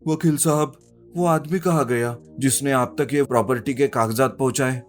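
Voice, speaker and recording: A man's voice: medium pitch, normal speed, close to an everyday voice. A man playing an earnest, polite young man in a narrated story. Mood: grateful. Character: earnest young man in trouble, wealthy heir. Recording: music behind the voice.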